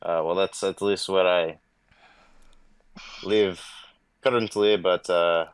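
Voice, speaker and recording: Deep slow man, smooth voice, formal and hesitant. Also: fairly even intonation, dry recording. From Hungary. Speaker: podcast guest.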